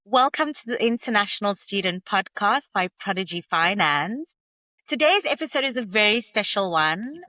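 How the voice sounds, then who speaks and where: A woman's voice: medium pitch, sharp tone, no room sound. A woman hosting a podcast interview, asking upbeat, friendly questions. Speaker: podcast host.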